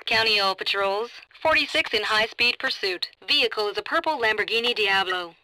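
A woman playing a brisk, composed police dispatcher in a video game, speaking in clipped procedural phrases over the radio. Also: medium pitch, expressive, bright, forceful tone, no room sound. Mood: businesslike. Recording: noisy police radio, clicks.

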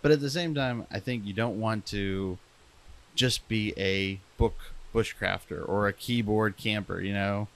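A young man with a slightly low voice talks casually on a podcast. He rambles, restarts his sentences and pokes fun at himself. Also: a little slow. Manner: rambling, self-deprecating, many restarts. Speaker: podcast co-host.

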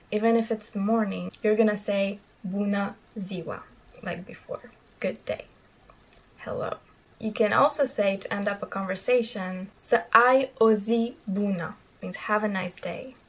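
A woman's voice: medium pitch, creaky, rough recording. Young woman, Romanian-born, casual lapel-mic language lesson from her bedroom. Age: young adult. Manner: slow careful repetition of phrases, lively explaining. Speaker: language teacher vlogger. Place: small bedroom, indoors.

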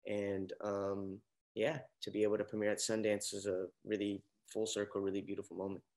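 Young actor guest, sincere, stumbles and repeats, on a video call. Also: male voice, fairly low pitch, completely flat delivery, vocal fry, a little mellow. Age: young adult. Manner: halting, repeats words, earnest. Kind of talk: casual interview chat. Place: indoor room, video call.

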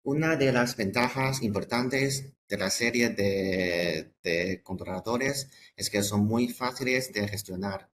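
A man presenting products in a webinar, halting and hesitant, often repeating words and breaking off phrases. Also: lowish voice, a bit bright, a little hiss or hum.

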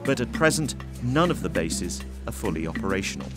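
A man giving a scripted documentary narration in a measured, even voice. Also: lowish voice. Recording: voice-over with music bed. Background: music.

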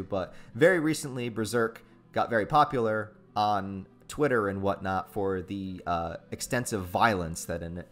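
A man with a fairly low voice hosts a relaxed chat. He asks and comments in informal, slangy language, as an interviewer talking casually with a guest. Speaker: host, interviewer.

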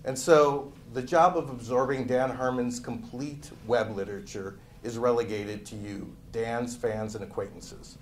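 A man's voice: medium pitch, steady tone, measured pace, hardly any pauses. Grandiose man reading a written introduction live. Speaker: guest reader at a book party. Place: indoor room at a live party. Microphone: microphone on a lectern stand. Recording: live room, faint background noise.

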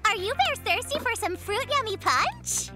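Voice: very high-pitched, very animated, moderate pace. An actor voicing a cheerful, perky young fox in a children's cartoon. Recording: loud children's music behind.

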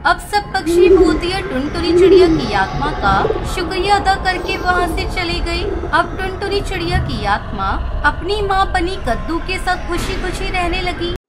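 A woman's voice: extremely high pitch, expressive, moderate pace, hardly any pauses. A woman reading a scripted children's story as the narrator. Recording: loud background music under voice. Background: loud music.

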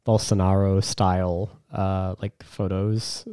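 A man hoarse and raspy with a cold, chatting casually as a podcast co-host in a sweary, self-deprecating way. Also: low-pitched, little pitch movement, slow, warm tone, no room sound, studio quality. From a subtropical island. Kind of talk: casual chat.

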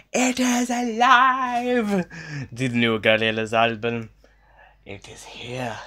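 A man in his twenties gushing in an excited reaction vlog, swinging between shouts and hushed whispers and swearing freely, filmed on a phone held at arm's length in a small bedroom. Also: mid-range voice. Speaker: vlogger.

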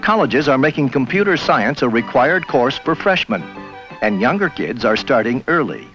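Animated male documentary narrator, dull film sound. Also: mid-range voice, moderate pace. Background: faint music.

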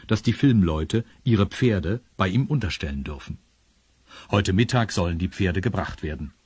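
A man's voice: deep voice, a little mellow, slightly rough, no room sound. A man narrating a children's audio drama in a scripted storytelling style. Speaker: narrator of a children's radio play.

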